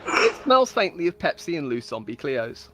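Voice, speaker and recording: A woman's voice: deep voice, big pitch swings, moderate pace, over music. A woman giving a playful, mock-solemn dramatic reading of her own comic stories, like a storyteller. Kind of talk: comedic dramatic reading of scripted tales.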